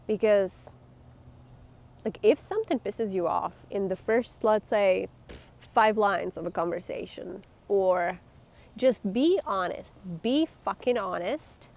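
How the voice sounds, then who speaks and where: Blunt woman with a gravelly voice. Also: mid-range voice, slow pace, a little mellow. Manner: blunt, emphatic and swearing. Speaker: vlogger. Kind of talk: candid talk to camera.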